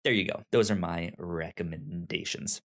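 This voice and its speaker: A man hosting a podcast, chatting casually and enthusiastically, with a halting, rambling delivery and stuttered repeats of syllables and words. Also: sits low, fairly bright tone. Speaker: podcast host. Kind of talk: casual podcast recommendations and chat.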